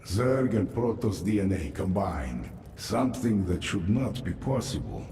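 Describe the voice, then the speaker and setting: A man playing a grave, haunted warrior adviser in a video game, measured and ominous. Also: low voice, lively intonation, warm tone, slight background noise. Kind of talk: video game cinematic acting. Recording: echoing, distant, music behind.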